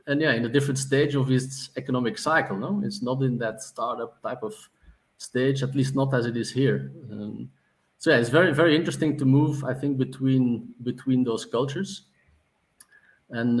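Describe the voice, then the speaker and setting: A man in his forties from Belgium, speaking as an interview guest. He talks in a reflective, conversational way, often restarting his phrases and leaning on "you know." His pitch sits in the middle range. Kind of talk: interview answers.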